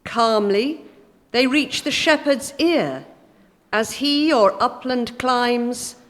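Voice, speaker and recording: A woman speaking formally and deliberately to an audience as a guest poetry reader. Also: on the high side, a bit bright. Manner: deliberate, careful delivery. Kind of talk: formal introduction and poetry recital. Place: inside a church at a live event. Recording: long church reverb.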